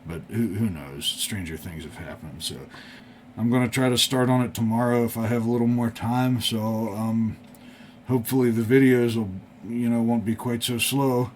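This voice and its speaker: A man's voice: mid-range voice, fairly even intonation, normal speed, a little mellow. A man giving casual, unscripted workbench commentary as a hobby video host, thinking aloud in a folksy, tentative, rambling way. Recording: clearly audible background noise.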